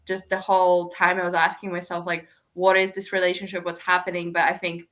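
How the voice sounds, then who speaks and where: A woman's voice: lowish voice, monotone, brisk, a bit bright. A woman chatting casually and effusively about books as a co-host, with gushing, slangy talk. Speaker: book discussion co-host. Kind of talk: casual book chat.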